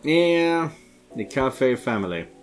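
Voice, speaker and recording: A man's voice: mid-range voice, sing-song, slow, faint music behind. A man from Sweden giving casual, rambling let's play commentary, hesitating as he goes. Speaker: streamer playing and commenting on a visual novel.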